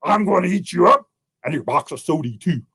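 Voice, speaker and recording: A man telling a folktale, voicing a big hungry bear in a slow, loud, threatening way. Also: on the high side, animated, breathy edge, pitched above the performer's own voice, full character voice. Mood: menacing, hungry. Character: big hungry menacing bear, growling and booming. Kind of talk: oral folktale storytelling.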